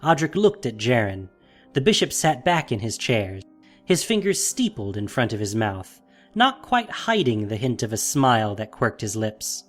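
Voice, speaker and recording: A man's voice: mid-range voice, sing-song, moderate pace, soft background music. A man narrating a fantasy audio drama in a dramatic, scripted storytelling style.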